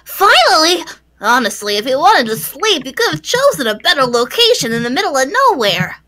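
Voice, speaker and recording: A woman's voice: high-pitched, big pitch swings, brisk, pushed and bright, heavily acted. A woman voicing a grumpy, worried little female teddy bear in a visual novel, scolding and exclaiming urgently. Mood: relieved scolding.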